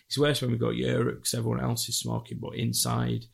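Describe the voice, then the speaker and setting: Casting: gravelly, husky-voiced man, 30s, laid-back podcast guest who swears casually. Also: medium pitch, completely flat delivery, fast talker. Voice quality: raspy, gravelly. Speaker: podcast guest, band vocalist. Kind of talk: casual chat.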